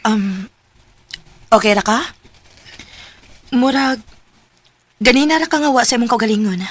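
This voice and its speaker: A woman's voice: a little high, unhurried. A young woman voicing a sincere, affectionate girlfriend in a radio drama. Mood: tender. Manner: warm, sincere, lightly laughing. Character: young woman in love, tender.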